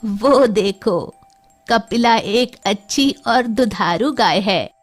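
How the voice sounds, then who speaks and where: Woman acting a slow, loving old village mother. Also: a little high, soft background music. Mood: firm, explaining. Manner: slow, drawn-out, instructive.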